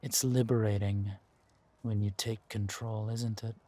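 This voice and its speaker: A man's voice: on the low side, flat delivery, unhurried, warm tone. A man acting a menacing, seductive villain king in a roleplay audio drama, with a theatrical, commanding drawl. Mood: smug, seductive.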